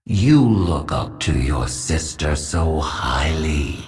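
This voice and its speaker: An actor playing a cruel, taunting dark tempter in a fantasy game, insinuating and at times whispery. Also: male voice, rumbling low, lively intonation, slow pace, husky, faint music behind, exaggerated voice. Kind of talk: villain acting in fantasy game.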